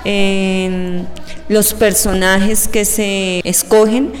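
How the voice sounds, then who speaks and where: Slow, even-toned Colombian woman. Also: medium pitch, in a large hall. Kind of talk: unscripted interview answer.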